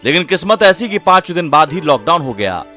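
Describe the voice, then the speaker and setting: A man's voice: fairly high pitch, big pitch swings, fairly quick. A man narrating a news report in a dramatic, emotive and theatrical scripted style. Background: loud background music.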